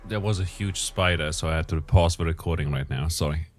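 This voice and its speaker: Male gameplay commentator, low voice a bit strained, mumbly think-aloud delivery. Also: a little fast, faint music behind. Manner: thinks aloud, muttering calculations and self-corrections. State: voice strained lately.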